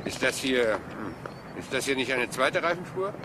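An actor playing a rumpled, absent-minded police inspector who speaks politely and haltingly in a German crime audio drama. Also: male voice, medium pitch, very animated, noisy background, natural voice. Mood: puzzled, distracted. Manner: hesitant, polite, halting, seemingly scatterbrained.

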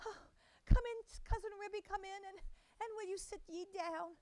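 A woman's voice: very high voice, moderate pace, darkish tone, no echo, voice pushed up. A woman voicing a tearful, fretful mother cat who wails about her lost kitten. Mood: tearful, fretting. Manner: wailing, fussing.

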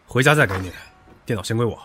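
An actor playing a brash, rude young heir who speaks in a brusque, commanding way. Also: male voice, medium pitch, expressive, fast talker, sharp tone, slightly rough, exaggerated voice. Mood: bossy. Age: young adult. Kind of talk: radio drama acting. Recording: background music.

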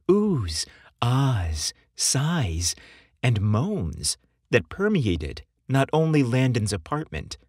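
Husky male storyteller, very animated. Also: medium pitch, dry recording, clean studio sound. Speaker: podcast host and narrator. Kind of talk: scripted story narration. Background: music behind the voice.

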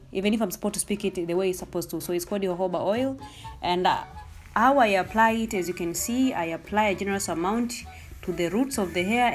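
A young woman whose first language is Swahili talks through a hair tutorial in an easy, chatty way, explaining each step as she goes. Her voice sits at a middle pitch, and faint music plays quietly behind her. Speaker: hair vlogger.

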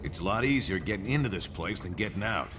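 An actor playing a blunt, commanding middle-aged spymaster with an American accent in a video game cutscene. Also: male voice, low voice, animated, fast talker. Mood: gruff, commanding.

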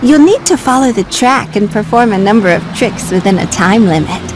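A helpful little girl bird from a video game, with a fairly high voice. She gives friendly, clear instructions over faint ambience. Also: background noise. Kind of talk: scripted game tutorial dialogue.

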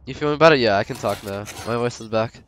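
A man's voice: medium pitch, fast, a bit bright, a little hiss or hum. Slangy male streamer getting over a cold. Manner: slangy, swearing. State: recovering from a cold, slight cough. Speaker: live game streamer. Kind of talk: casual chat with stream viewers while gaming.

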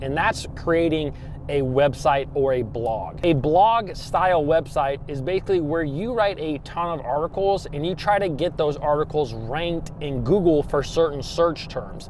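A man's voice: on the high side, slight background noise. A man in his thirties from Ohio hosting a fishing channel, talking casually and upbeat to a handheld camera inside his truck. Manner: chatty and upbeat, lots of "you know" and "actually". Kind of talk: casual vlog talk to camera. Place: inside a parked pickup truck, later outdoors in a parking lot. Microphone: handheld camera at arm's length.

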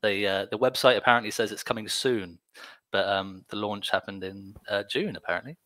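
Man, panel host, headset mic, brisk, joking asides, dry sound. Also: on the low side, bright, forceful tone, no echo. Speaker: host and moderator. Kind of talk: moderating an online panel.

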